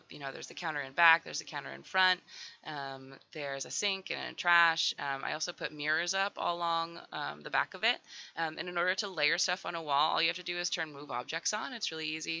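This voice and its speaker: A woman talks loosely over her build, a raspy morning voice still getting over a cold. Her tone is very bright and edgy, with a lot of fry in it. The recording sounds dull and lo-fi. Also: low-pitched. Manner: rambling, full of "um" and "like". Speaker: Sims builder doing a voiceover. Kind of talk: casual voiceover chat.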